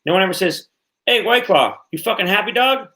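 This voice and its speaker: A man's voice: sits high, expressive, a little fast, bright, forceful tone. A man in his late thirties hosting a casual livestream podcast, rambling and cursing freely as he chats. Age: 30s. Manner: rambling, swears freely, repeats himself. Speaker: podcast host.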